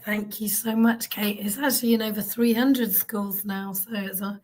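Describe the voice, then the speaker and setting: A woman with a croaky, hoarse voice giving an earnest conference talk on a stage, with frequent ums. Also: mid-range voice, steady tone, normal speed, darkish tone. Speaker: keynote speaker on child wellbeing. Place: event stage.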